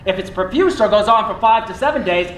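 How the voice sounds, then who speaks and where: A man playing a calm, dry-humored surgeon in a stage play, deadpan and reassuring. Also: high voice, fast talker. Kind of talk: live stage play acting. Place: theatre stage, indoors. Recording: echoey theatre room, faint noise.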